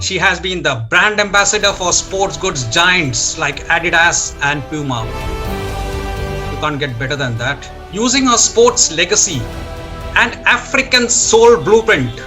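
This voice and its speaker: A man in his sixties with an Indian accent, hosting on a video call with a formal, scripted delivery. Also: sits high. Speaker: show host and interviewer.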